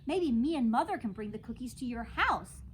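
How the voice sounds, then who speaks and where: A woman's voice: fairly high pitch, animated, a little fast, pitched above the performer's own voice, close to an everyday voice. Woman playing a brash, bouncy little girl, noisy recording. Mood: excited. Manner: childish grammar, boastful, emphatic. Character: brash, chatty, excitable kindergarten girl narrator. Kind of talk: children's storybook read-aloud.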